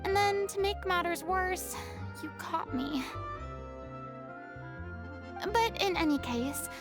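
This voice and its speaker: High-voiced theatrical young former star. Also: female voice, a little slow. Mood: sheepish. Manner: theatrical, refined, polite. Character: proud, theatrical young female former star, awkwardly sincere. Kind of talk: video game character dialogue. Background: music.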